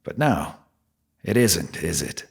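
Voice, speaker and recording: Audio drama role, male actor: streetwise male stranger from the underground, lowish voice, highly animated, profane and probing. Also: slow, gravelly, husky, heavily acted. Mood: grim, probing. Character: streetwise, needling male stranger living down in subway.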